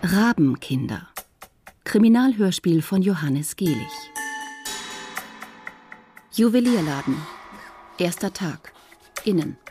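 A woman's voice: on the low side, lively intonation, slow pace, pauses often, over music. A woman announcing scenes in a radio crime play, terse and matter-of-fact. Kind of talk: scripted announcement.

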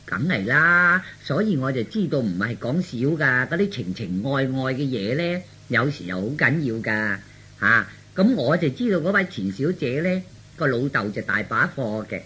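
Man playing meddling middle-aged Cantonese aunt, husky voice, bright forceful edge, insistent, scolding, radio storytelling. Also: mid-range voice, sing-song, a little slow, full character voice. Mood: earnest persuasion. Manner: insistent, colloquial, full of rhetorical tags.

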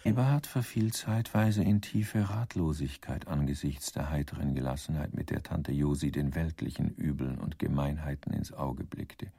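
Very deep, dark-voiced dry narrator. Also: male voice, steady tone, normal speed, creaky, hardly any pauses, husky, full character voice. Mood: dry, ironic. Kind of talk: scripted radio drama narration.

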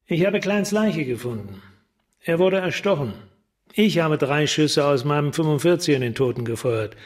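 An actor playing a driven, blunt veteran homicide detective with terse, insistent delivery in a German radio crime drama. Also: male voice, a little high, lively intonation, moderate pace. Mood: urgent, blunt. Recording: voice over loud guitar music.

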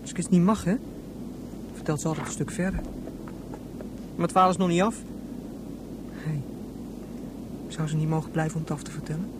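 Young boy for radio play: rapid, pressing, stammering questioner, played by an actor, faint noise behind. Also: mid-range voice, steady tone, close to an everyday voice. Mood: wistful. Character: David, young boy pleading about his departing mother. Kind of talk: radio drama acting.

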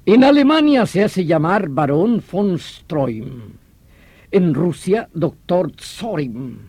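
An actor playing an excitable, irritable French police commissioner in an old radio drama, emphatic and condescending. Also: male voice, sits high, takes it slowly, slightly rough, no room sound, full character voice. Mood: eager, confident.

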